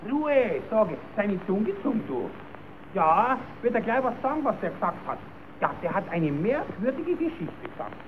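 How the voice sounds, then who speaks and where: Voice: mid-range voice, very animated, moderate pace, close to an everyday voice. A performer playing a cheeky puppet clown who stammers as he spins tall tales for children in a German radio play. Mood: hushing, secretive. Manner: stammering, repeating himself, improvising tall tales. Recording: tinny, narrowband, hissy old radio recording.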